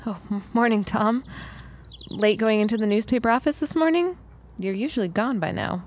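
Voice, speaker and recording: A woman's voice: fairly high pitch, moderate pace, a little mellow, a little hiss or hum, close to an everyday voice. A woman playing a frank, plain-spoken, dryly witty working woman in a period audio drama. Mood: wry.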